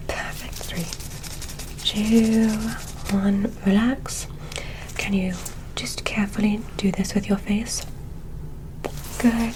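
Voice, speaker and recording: A woman doing an ASMR roleplay as an examiner, whispering breathily with gentle, careful, encouraging instructions. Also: mid-range voice, background noise. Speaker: ASMR performer role-playing an alien medical examiner.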